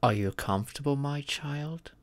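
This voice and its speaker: A man voicing a gentle, proud dragon father and former king in a comfort roleplay, speaking formally and tenderly. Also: fairly high pitch, no echo, close to an everyday voice. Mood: reassuring, fatherly. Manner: formal, tender, paternal speech with deliberate pauses. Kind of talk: scripted comfort ASMR roleplay.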